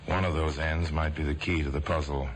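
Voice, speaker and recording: A man narrating an old radio crime drama in a grave, measured voice. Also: rumbling low, fairly even intonation. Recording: old radio broadcast with faint hiss.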